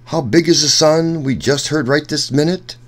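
A man reading a rhyming children's picture book aloud in an expressive, sing-song storyteller's way. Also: a little high, normal speed. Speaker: children's story reader, host.

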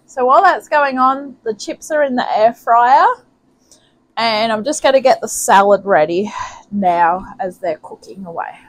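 A woman's voice: on the high side, a little slow, no room sound, poor audio. A woman from New Zealand hosting a home cooking video, chatting casually as she works in her kitchen and talking herself through each step. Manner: chatty, homely, thinks aloud while cooking. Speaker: home cooking channel host. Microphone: camera mic in the kitchen, off to the side.

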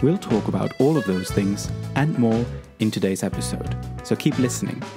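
A man hosts an interview podcast, asking curious questions in a conversational way. He stumbles and restarts now and then. His voice sits on the low side. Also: lively intonation, normal speed, gravelly, over loud music. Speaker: podcast host.

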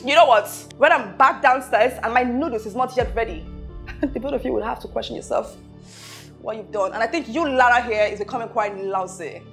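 A woman's voice: a little high, brisk, faint music behind, close to an everyday voice. An actress playing a haughty, hot-tempered rich woman who scolds and repeats her questions emphatically in a film drama. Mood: threatening. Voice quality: loud, shouting when angered. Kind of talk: low-budget film drama acting.

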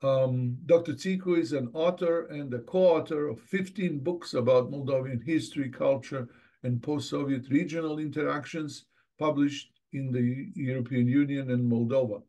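A man's voice: medium pitch, normal speed, mellow. A middle-aged man reading a formal, scripted introduction as moderator of an online seminar. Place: indoors, office, on a video call.

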